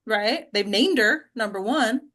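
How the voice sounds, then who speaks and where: A woman with a fairly high voice hosts an informal discussion as an acting coach. She is encouraging and emphatic, often checking in with a short tag question. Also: expressive, unhurried, fairly bright tone. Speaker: host and acting coach leading a script discussion.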